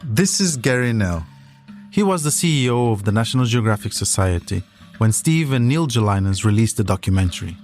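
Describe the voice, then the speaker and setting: A man's voice: fairly low pitch, lively intonation, fairly quick, darkish tone, soft background music. A man narrating a scripted documentary podcast as its storyteller.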